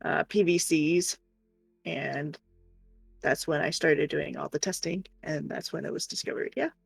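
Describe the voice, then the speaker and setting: A woman's voice: sits low, steady tone, unhurried, gravelly, breathy edge, no room sound. A woman streamer chatting casually and telling stories in a frank, exasperated, sweary way. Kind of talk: casual storytelling chat. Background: faint music.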